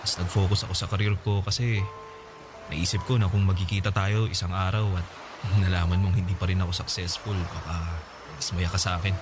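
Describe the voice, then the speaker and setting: A man playing a mildly hurt but forgiving former boyfriend in a Tagalog radio drama, talking in a calm, reflective, conversational way. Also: sits low, a little fast, lightly acted. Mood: reflective, earnest. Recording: music bed behind the voice.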